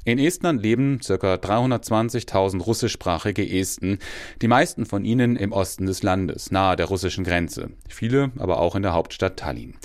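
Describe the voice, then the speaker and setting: A male radio reporter reads his scripted feature in a fairly low voice. His delivery stays measured and even from line to line.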